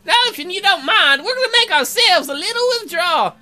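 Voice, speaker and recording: A player acts out a cackling Wild West gang boss in a video game. The voice is pushed high and forceful, swinging widely as he drawls out mocking, theatrical threats. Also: expressive, fairly quick, soft background music, heavily acted. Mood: smug menace. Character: cackling, taunting male Wild West bank robber gang leader.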